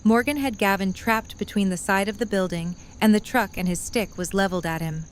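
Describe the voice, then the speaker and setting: A woman's voice: medium pitch, a little fast, background noise. A woman reading scripted story narration as the narrator of an audio drama.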